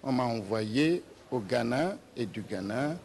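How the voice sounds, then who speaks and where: A man in his sixties from Nigeria, a papal nuncio, answering interview questions slowly with many hesitations and restarts. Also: mid-range voice, expressive, darkish tone, slight background noise. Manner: slow and hesitant with many "euh" fillers and restarts. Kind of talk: slow, halting interview answers.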